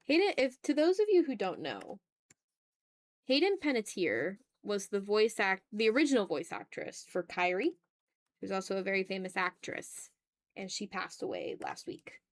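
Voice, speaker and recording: A woman's voice: medium pitch, very animated, normal speed, dry recording. A woman hosting a casual art livestream, chatting in a playful, slangy, exclamatory way while she draws, close to the mic.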